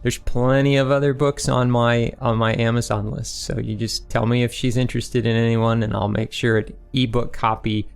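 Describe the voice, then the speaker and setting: A man's voice: medium pitch, flat delivery, normal speed, vocal fry, few pauses, clean tone, soft background music. A man speaking as a guest author in a casual interview, explaining his process with rhetorical questions and quoted asides to himself. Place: remote online recording session.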